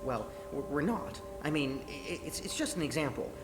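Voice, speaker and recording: A woman's voice: low voice, lively intonation. An actress voicing a nervous young female lead engineer in a video game, stammering and rambling as she explains. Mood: explaining. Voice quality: stammering, flustered, fast rambling. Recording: game audio with echo and music.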